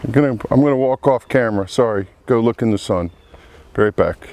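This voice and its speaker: A man with a cold and a froggy, congested voice, talking slowly through a beehive inspection outdoors among buzzing bees. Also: mid-range voice, darkish tone. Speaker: beekeeper. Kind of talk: casual hive inspection commentary. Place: outdoor apiary.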